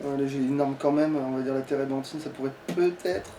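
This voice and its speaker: A man's voice: medium pitch, fairly even intonation, fairly quick, warm tone. A man chatting casually while playing a game, grumbling in coarse slang. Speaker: let's play co-host playing the game. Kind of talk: casual gaming chat. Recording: distant mic with faint noise.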